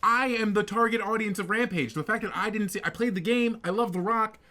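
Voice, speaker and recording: A man's voice: high voice, brisk, bright, forceful tone. A man co-hosting a podcast, chatting casually about games and films in an opinionated, rambling way. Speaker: podcast co-host.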